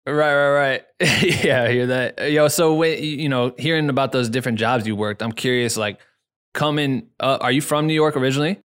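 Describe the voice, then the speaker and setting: A man from Iowa hosting a laid-back interview. His voice is smooth and clean, and he asks his questions with upbeat energy and casual slang. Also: medium pitch, a little fast, a bit bright.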